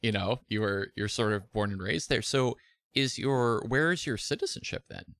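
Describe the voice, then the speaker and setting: Guy hosting an interview, mid pitch, dry close sound, lots of mm-hmms. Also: moderate pace, fairly bright tone, no room sound. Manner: many affirming backchannels. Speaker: interview host. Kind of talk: casual interview conversation.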